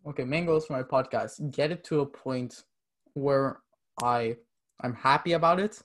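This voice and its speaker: A man's voice: medium pitch, normal speed, fairly bright tone. A young man from Canada, a podcast guest chatting casually, rambling with many fillers. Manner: rambling, many "like" fillers, acts out quoted texts. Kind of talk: casual interview chat.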